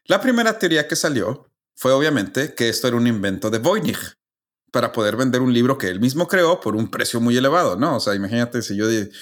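Man, casual podcast host, methodical step-by-step explaining, middle pitch. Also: big pitch swings, fast. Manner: methodical, explains facts step by step, restarts phrases.

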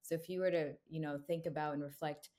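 Low-voiced young woman, talkative and earnest. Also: steady tone, fast, mellow. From United States. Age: young adult. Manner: earnest, talkative, frequent "you know" fillers. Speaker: guest, brand co-founder. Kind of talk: casual interview chat.

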